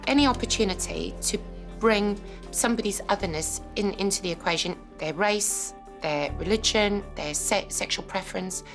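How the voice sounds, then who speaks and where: A woman's voice: mid-range voice, normal speed, over music. A woman with a South African accent, a police call handler, speaking earnestly and reflectively in a documentary interview.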